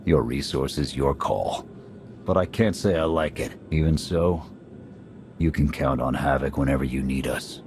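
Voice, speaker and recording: An actor playing a gruff, blunt military squad leader in a video game, terse and stern in his delivery. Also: rumbling low, measured pace, noisy background. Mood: grudging.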